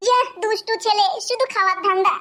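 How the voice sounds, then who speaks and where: Super high squeaky flirty cartoon lady, teasing and bossy, talks quick. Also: fairly even intonation. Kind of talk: comic cartoon dub.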